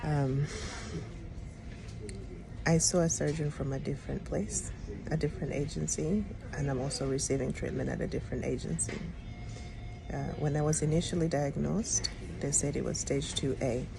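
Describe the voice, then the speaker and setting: A middle-aged woman, a public health educator, talking in an earnest, reflective way with frequent pauses and ums. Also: low voice, fairly even intonation, vocal fry, low-quality recording. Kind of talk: personal vlog talk. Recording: music playing underneath.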